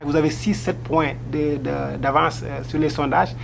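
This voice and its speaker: Male interviewer, gravelly, a bit high, halting delivery, humming background. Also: little pitch movement, a little hiss or hum. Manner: hesitant, searching for words. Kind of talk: interview questions.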